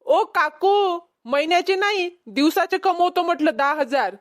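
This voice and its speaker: A woman's voice: very high-pitched, expressive, a little slow. A woman voicing a boastful, haggling young male street peddler in a cartoon comedy, with a Vidarbha Marathi accent. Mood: indignant, boastful. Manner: cocky, bargaining.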